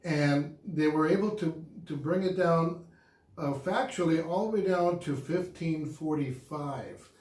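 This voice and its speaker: A man's voice: a little high, measured pace, a little mellow. A man co-hosting a casual couple's vlog, talking in an upbeat, chatty way and laughing easily. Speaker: vlog co-host. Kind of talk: casual chat. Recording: echoey room.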